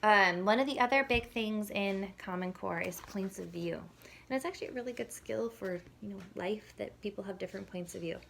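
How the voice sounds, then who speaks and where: A woman's voice: mid-range voice, fairly quick, gravelly, a bit bright, slight background noise. A woman speaking as a parenting educator, giving an informal, conversational lesson and explaining as she goes. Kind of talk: informal instructional talk with demonstrated questions.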